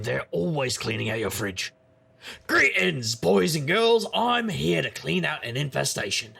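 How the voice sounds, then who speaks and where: An actor playing a jaded, wisecracking paranormal investigator, narrating an audio drama in a wry, rambling, noir style. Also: male voice, mid-range voice, fairly bright tone, exaggerated voice. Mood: mock grand. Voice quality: gravelly. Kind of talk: scripted noir-style first-person narration.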